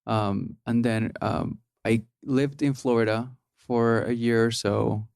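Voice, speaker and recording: A man's voice: mid-range voice, steady tone, slow pace, vocal fry, darkish tone, clear, resonant tone, no room sound, clean studio sound. A man in his thirties from Peru, a podcast guest talking casually and hesitantly with many ums and restarts. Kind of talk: casual interview.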